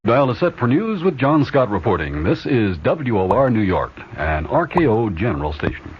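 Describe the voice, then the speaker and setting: A man's voice: mid-range voice, very animated, moderate pace. A man reading scripted sponsor and station announcements as a 1970s radio announcer. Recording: noisy, muffled old radio recording.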